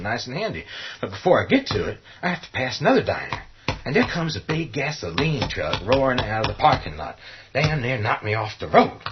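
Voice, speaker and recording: An actor playing a gruff, resolute middle-aged working man in a horror radio play, speaking in a folksy, commanding way. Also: lowish voice. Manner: folksy colloquial drawl, commanding, rough-spoken. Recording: dull, noisy lo-fi audio.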